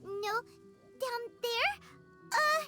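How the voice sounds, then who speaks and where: A tiny, excitable fairy sidekick for a video game. The voice is extremely high and girlish, squeaky and childlike, with music clearly playing behind it. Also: very slow, heavily acted. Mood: confused.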